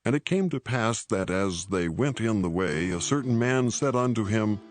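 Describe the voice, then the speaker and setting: A man narrating scripture in a solemn, measured and formal reading style. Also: fairly low pitch, expressive, soft background music.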